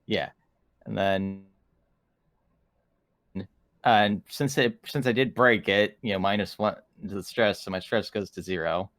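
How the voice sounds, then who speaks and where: A man plays a tabletop roleplaying game, talking casually through his actions. His voice is on the low side and the sound is dry, with little room in it. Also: fairly even intonation, a little slow, pauses often, a bit bright, dry recording.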